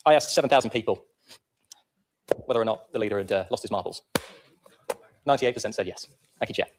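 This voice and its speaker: Very fast-talking man making a debate speech. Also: lowish voice, background noise. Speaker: local councillor. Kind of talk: political debate speech in a council chamber. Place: council chamber.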